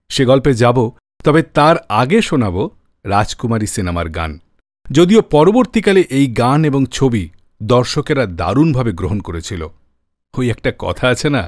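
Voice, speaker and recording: A man's voice: medium pitch, dry recording, studio quality. A man hosting a radio show, narrating the story behind old film songs in a conversational, storytelling way.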